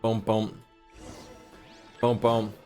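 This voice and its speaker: A man's voice: fairly low pitch, little pitch movement, very slow, a little mellow, faint music behind. A man streaming a game with casual, blunt, joking commentary full of slang and swearing. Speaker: gaming streamer. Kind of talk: casual profane gameplay commentary.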